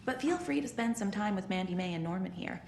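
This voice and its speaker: A woman playing a brisk, long-suffering care worker in a game, dryly exasperated but caring. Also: medium pitch, lively intonation, vocal fry, darkish tone, reverberant, slight background noise. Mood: wry, busy.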